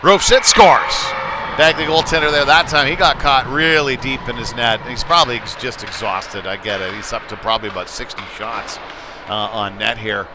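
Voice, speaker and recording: A man doing live play-by-play of a youth hockey game in a conversational manner that rises to excitement with the play. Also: medium pitch, moderate pace. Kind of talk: live sports commentary with casual asides. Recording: clear noise of a live sports venue.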